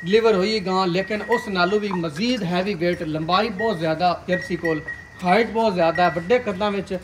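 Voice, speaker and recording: A man's voice: high voice, fairly quick, background noise. A man with a Punjabi accent presenting cows for sale in an outdoor farmyard, with hearty salesman patter aimed at his viewers. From Pakistani Punjab. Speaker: livestock video presenter.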